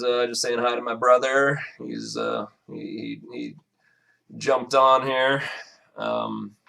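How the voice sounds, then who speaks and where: A man with a raspy voice casually walking through his song mix, talking in halting bursts with lots of fillers and restarts. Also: medium pitch, fairly bright tone. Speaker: music producer. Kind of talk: casual screen-recorded mixing walkthrough.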